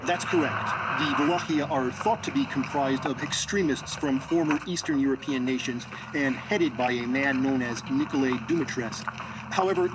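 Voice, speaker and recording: A man's voice: fairly high pitch, close to an everyday voice. An actor playing an earnest male news correspondent, giving a formal, explanatory report in a video game. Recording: loud vehicle and ambient noise.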